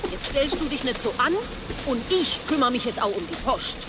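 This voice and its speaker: An actress playing a brusque, impatient daughter in a radio drama, loud and exasperated, with a southern German accent. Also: female voice, on the high side, expressive, moderate pace, natural voice. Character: brusque, impatient daughter running the family firm.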